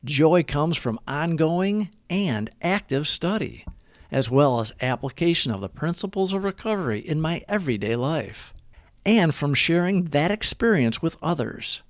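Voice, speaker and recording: Brief: man, higher-pitched reader, very animated pitch, earnest devotional read, dry close sound. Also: no room sound. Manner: earnest, measured reading with a prayerful tone. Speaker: recovery meditation reader. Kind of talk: read-aloud daily devotional reading.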